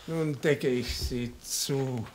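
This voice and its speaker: An actor playing an old, matter-of-fact retired hangman in a radio play, with a husky voice and terse remarks. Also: mid-range voice, extremely slow, a little hiss or hum. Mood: fussing, soothing. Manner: terse, clipped little remarks. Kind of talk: German-language radio play acting.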